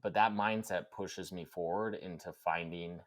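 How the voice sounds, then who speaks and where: A man co-hosting a casual podcast conversation, speaking in a relaxed, reflective way and often filling with "like" and "right?". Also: sits low, moderate pace. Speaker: podcast co-host.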